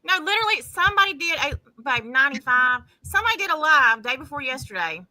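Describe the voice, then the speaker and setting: A woman chatting casually with friends on a video call, blunt and joking, quick to swear. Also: high-pitched, sing-song, bright, forceful tone, no echo, poor audio. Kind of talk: casual group chat on a live stream.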